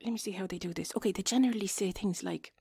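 Woman playing chatty, awkward Irish wife for intimate audio drama. Breathy, whispery, coaxing delivery, very dry recording. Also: medium pitch, warm tone, no room sound. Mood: nervous, teasing. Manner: halting, self-conscious, coaxing, drifting into breathy whispers. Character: flustered, chatty Irish wife talking intimately to her partner. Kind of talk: intimate erotic audio roleplay.

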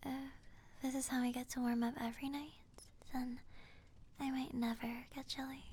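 A woman speaking in a breathy, often whispered voice, affectionate and intimate, as in adult roleplay audio addressed to a partner. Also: high-pitched, level tone, moderate pace, a little mellow.

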